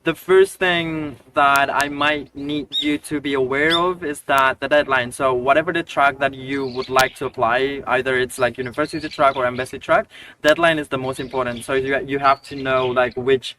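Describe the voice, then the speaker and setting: A man from Indonesia, giving hesitant advice as an interview guest, with many pauses and restarts. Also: medium pitch, fairly even intonation. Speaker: scholarship recipient guest. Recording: distant mic with faint noise.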